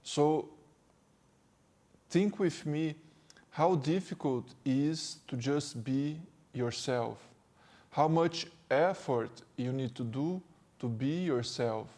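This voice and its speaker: A man from Brazil with a Brazilian Portuguese accent, speaking English as a second language and giving a calm spiritual talk as a teacher, slow and gentle with pauses. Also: mid-range voice. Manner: slow, gentle, with pauses and non-native phrasing. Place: sitting indoors.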